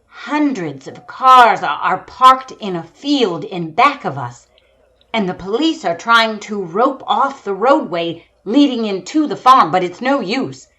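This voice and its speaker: A woman's voice: mid-range voice, expressive, a little slow, pushed and bright, highs cut off. A woman playing an earnest female radio news reporter in a 1930s-style broadcast drama, describing a scene with dramatic deliberation. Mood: urgent. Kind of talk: 1930s radio newscast acting.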